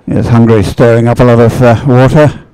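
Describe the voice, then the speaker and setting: A man giving live, off-the-cuff race commentary in a halting, rambling way, hesitating as he searches for words. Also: mid-range voice, steady tone, warm tone, smooth, poor audio.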